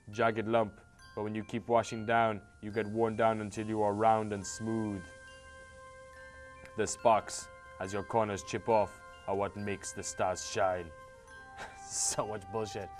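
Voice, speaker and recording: An Irish man reading a game's dialogue aloud, with sarcastic, swearing asides between the lines. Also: lowish voice. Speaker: host playing a game.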